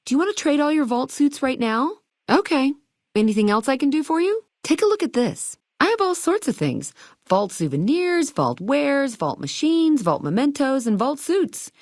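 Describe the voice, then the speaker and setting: Perky, animated high-voiced hotel keeper. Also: female voice, moderate pace, no room sound, clean studio sound. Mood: chirpy, welcoming. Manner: chirpy salesmanship, playful old-fashioned slang. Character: perky, eager vault hotel keeper woman, quirky and chatty. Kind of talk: video game dialogue lines.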